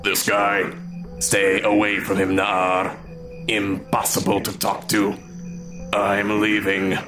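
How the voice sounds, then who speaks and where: Casting: stern, curt demon, very deep, slow, flat delivery, audio fiction. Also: male voice, music in the background. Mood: disdainful. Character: gruff, proud, curt native demon, alien tongue. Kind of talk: audio fiction podcast acting.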